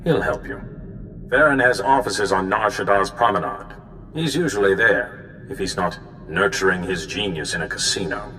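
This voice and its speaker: A man playing a solemn, worried Jedi mentor in a video game, speaking in measured explanations. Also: sits low, lively intonation, pushed and bright, soft background music. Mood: grave. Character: grave, worried male Jedi mentor giving orders. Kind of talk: cinematic video game dialogue. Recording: hall reverb, faint background noise.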